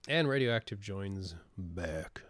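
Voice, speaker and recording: A man casting a tournament match of a video game, with excited play-by-play and casual asides, sniffling from a cold. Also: on the low side, gravelly, husky, no room sound. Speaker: esports caster. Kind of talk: game commentary.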